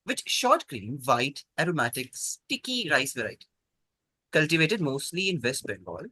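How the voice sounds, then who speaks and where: A man hosting a quiz, reading questions out in a measured, precise, polite way. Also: a little high, pushed and bright, dry recording, poor audio. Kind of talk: formal quiz question reading over an online call.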